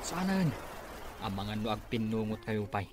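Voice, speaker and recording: An actor with an Ilocano accent playing a grumbling, gossipy, wary office employee in a radio comedy drama. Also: male voice, on the low side, big pitch swings, moderate pace. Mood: annoyed. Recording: noisy radio drama recording.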